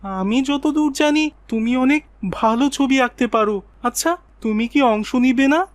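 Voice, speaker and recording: A woman's voice: high voice, measured pace, no room sound. A woman as an encouraging cartoon schoolboy friend. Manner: friendly, insistent pep talk. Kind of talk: Islamic cartoon moral story dialogue.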